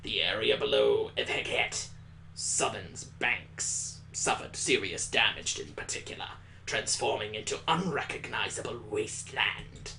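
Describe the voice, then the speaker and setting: A man voicing a grave, measured schoolteacher who lectures in a formal, deliberate way in a visual novel. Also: lowish voice, animated, vocal fry, sharp tone, a little hiss or hum, full character voice, low-quality recording. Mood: solemn, didactic.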